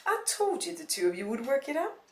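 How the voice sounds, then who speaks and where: A woman's voice: high-pitched, very animated, moderate pace, recorded from a distance, pitched above the performer's own voice. A woman voicing a cheerful, chatty, meddling elderly grandmother in a storybook reading. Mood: triumphant. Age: older. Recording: roomy, echoing voice recording.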